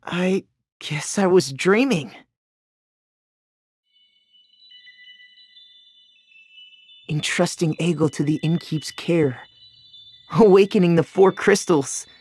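A woman's voice: lowish voice, a little slow, darkish tone, slightly rough, no room sound, studio quality. A woman playing an earnest, bewildered young hero in a fantasy video game, with an emotive, hesitant delivery. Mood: dawning realisation.

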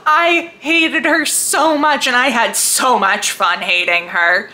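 A woman giving a casual, chatty book review to viewers in an animated, gushing, emphatic way. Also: on the high side, slow, rough recording. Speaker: book vlogger.

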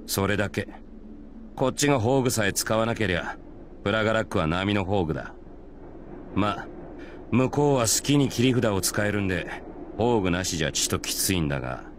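An actor playing a gruff, confident spearman in a visual novel game, talking in rough, casual, matter-of-fact speech. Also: on the low side, lively intonation, normal speed, pauses often, a little hiss or hum, lightly acted. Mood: calm, blunt. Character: gruff, confident male spear-wielding warrior, blunt and unflinching.

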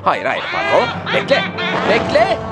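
An excitable cartoon narrator for small children. His pitch swoops widely as he addresses the young viewers with care and exclamation. Loud music plays behind him. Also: a little slow, full character voice. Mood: worried. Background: background music.

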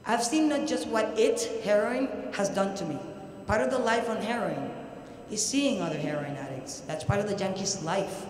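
Puerto rican woman giving a conference talk, halting and emphatic, big hall reverb, some noise. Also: medium pitch, animated, a little mellow. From Puerto Rico. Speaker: researcher presenting at an academic conference. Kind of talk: academic lecture. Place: large indoor university hall, at a podium. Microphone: podium microphone. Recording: very echoey hall, audible background noise.

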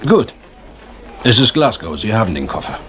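An actor playing a gruff, sceptical, exasperated English police chief inspector, brusque and blustering, in a German radio crime drama. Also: male voice, on the low side, very animated, moderate pace, sharp tone. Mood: brisk, official.

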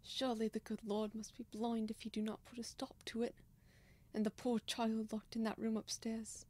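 A woman's voice: on the high side, monotone, moderate pace, warm tone, close to an everyday voice. A woman playing a pious, timid, kindly old male servant, lamenting in old-fashioned radio drama style.

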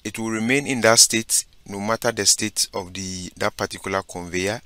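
A man giving a step-by-step software tutorial, narrating his screen and often saying "okay" and "so basically". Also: on the low side, steady tone, normal speed, smooth, dry recording. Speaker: instructor.

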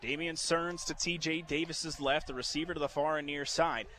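A man doing excitable live radio play-by-play of a football game, building from steady calls to shouts. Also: on the high side, moderate pace. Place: outdoor football stadium. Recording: noisy live outdoor stadium broadcast.

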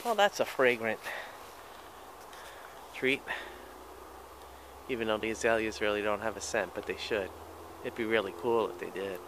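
A man narrating a winter hike through the woods to his camera, relaxed and admiring of what he finds along the trail. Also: on the low side, normal speed, pauses often, background noise. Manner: relaxed, admiring, speaks to camera while walking. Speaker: hiking vlogger. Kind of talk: casual hiking commentary. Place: outdoors in scrubby woods and swamp trails. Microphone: handheld camera while hiking.

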